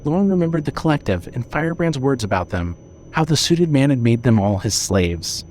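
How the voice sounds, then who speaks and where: A man's voice: medium pitch, very animated, a little fast, a little mellow, slight background noise. A man reading scripted horror fiction as the narrator of an audio drama.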